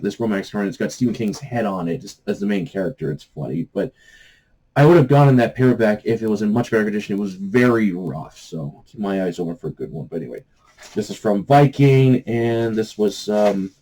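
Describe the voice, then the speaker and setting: A man hosting a book review vlog in a casual, off-the-cuff way, halting and meandering as he searches for words. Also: fairly low pitch, warm tone, rough recording. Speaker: host of a book review channel.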